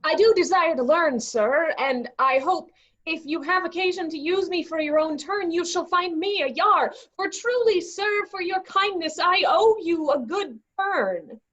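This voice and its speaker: A high, slightly muffled voice gives us a wry Shakespearean clown a woman acting a male bawd. He is cheeky and mock-humble, addressing his betters with sly politeness. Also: expressive, a little slow, fairly bright tone, heavily acted. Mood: mock-earnest. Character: cheeky bawd turned eager hangman's helper, male clown. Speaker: actor in a staged reading. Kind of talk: Shakespearean comic stage reading.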